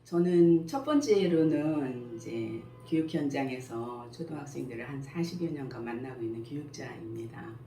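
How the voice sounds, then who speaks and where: A woman in her sixties, a school principal and picture book author, answering interview questions in a slow, modest, measured way. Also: very deep voice, animated, dark tone, faint music behind. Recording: echoey room, faint background noise.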